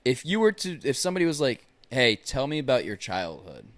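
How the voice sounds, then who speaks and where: A man's voice: mid-range voice, big pitch swings, brisk, no room sound. A man in his twenties co-hosting a podcast, chatting casually, rambling and swearing. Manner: rambling, swears, restarts a lot. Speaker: podcast co-host. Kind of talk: casual chat.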